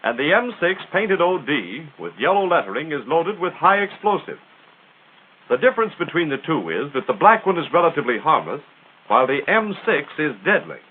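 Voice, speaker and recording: A man's voice: a little high, sing-song, fairly quick, breathy edge. An American man narrating a 1940s military training film in a punchy, emphatic, instructional manner, on a tinny old soundtrack.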